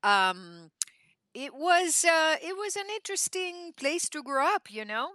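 A woman in her sixties from Argentina, telling her life story as a podcast guest, animated and full of fillers and restarts. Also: high-pitched, unhurried, no echo, clean studio sound. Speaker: podcast guest, spiritual teacher. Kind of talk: casual interview storytelling.

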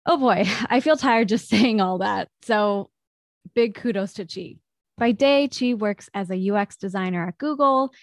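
A woman's voice: medium pitch, measured pace, no room sound, clean studio sound. Upbeat young woman hosting a podcast. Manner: upbeat, chatty. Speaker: podcast host. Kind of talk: podcast interview.

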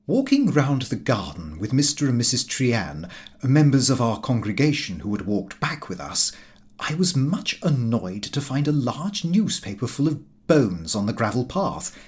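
A man's voice: mid-range voice, darkish tone. Expressively lilting man reading a comic diary. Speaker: audiobook reader. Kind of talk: scripted narration of a comic diary novel.

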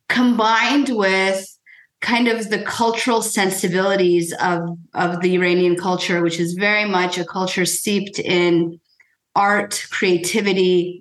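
An American woman giving reflective, thoughtful interview answers in conversation, phrased in measured pieces. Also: medium pitch, a bit bright. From United States. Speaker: podcast guest, physician. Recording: echoey, roomy sound.

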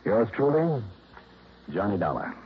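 A man's voice: lowish voice, sing-song, unhurried, very noisy. An American actor playing a confident, needling insurance investigator in an old-time radio detective drama, clipped and assertive in his delivery. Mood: matter-of-fact. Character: hard-boiled, confident male insurance investigator, brisk and needling. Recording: muffled vintage radio recording, faint music.

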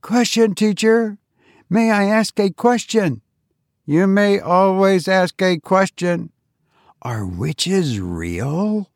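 A man's voice: high-pitched, animated, slow, no echo, clean studio sound, voice pushed up, full character voice. A man voicing a curious young child earnestly asking questions.

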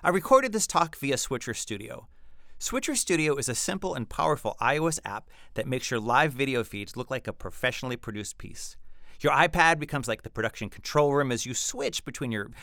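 Animated, bright-voiced male podcast host. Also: medium pitch, fairly quick, creaky, no room sound, studio quality. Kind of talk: casual conversational interviewing.

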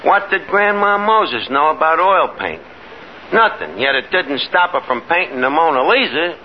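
A vain New York blowhard from an old radio comedy, on a muffled, noisy recording. His voice swings widely in pitch as he talks grandly in mangled grammar, hesitating along the way. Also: big pitch swings, normal speed. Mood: boastful. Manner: pompous, ungrammatical, hesitating. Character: boastful, scheming, malapropping tavern man, exasperated and vain. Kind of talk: 1950s radio sitcom acting.

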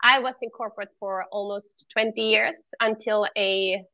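A middle-aged woman with a Swedish accent talks as a guest in a conversational interview. Her voice is bright and mid-pitched, and her manner is candid and earnest. The sound is close and dry, with no room echo. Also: steady tone, moderate pace, highs cut off. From Sweden. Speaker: interview guest, former corporate executive.

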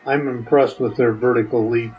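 Older male guest, mid-pitched, plain-spoken and hesitant, heard on slightly muffled audio. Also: fairly even intonation, normal speed. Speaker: podcast guest. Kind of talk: answering interview questions casually.

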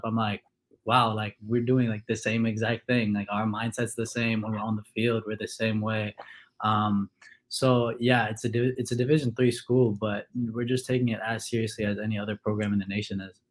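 A young man from Kuwait, a college football player, answering interview questions in a relaxed way with many fillers. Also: lowish voice. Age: young adult.

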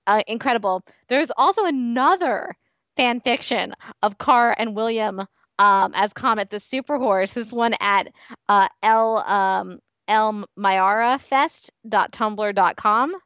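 A woman's voice: medium pitch, measured pace, fairly bright tone, no room sound, muffled recording. A woman co-hosting a fan podcast in casual, amused chat. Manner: giggly, amused. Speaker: podcast co-host.

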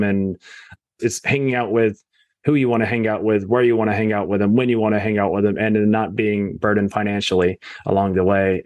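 A man's voice: lowish voice, no room sound. A man talking casually as a guest on a real estate podcast, leaning on fillers like "you know" and "right?".

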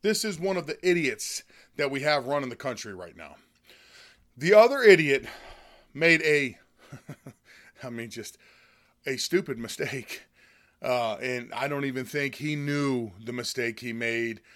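A man from the United States, hoarse from a chest cold, giving a scornful, indignant opinion monologue as host. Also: mid-range voice, animated, unhurried, long pauses, fairly bright tone, no room sound. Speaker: political commentary host.

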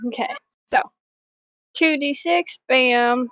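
A woman's voice: sits high, takes it slowly, a bit bright, no room sound. A woman chatting casually as a player in a tabletop game, heard in dull lo-fi audio.

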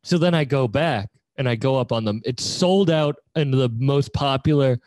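A man's voice: medium pitch, normal speed, a little mellow, no echo. A man in his twenties on a casual podcast chat, rambling, self-mocking and laughing often. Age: 20s.

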